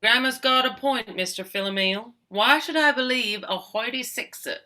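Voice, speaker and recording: A sneering mother rat pirate played by a woman, very sharp and a little high. She talks rough, slangy and taunting, like a cartoon space scoundrel. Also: normal speed. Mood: suspicious. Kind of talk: animated comedy table read.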